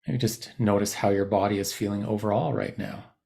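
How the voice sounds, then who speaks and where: A man guiding a body scan meditation in a soothing, unhurried manner, leaving long pauses between short phrases. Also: on the low side, fairly even intonation, vocal fry, dark tone. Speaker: meditation guide. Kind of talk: guided body scan meditation, unscripted instruction.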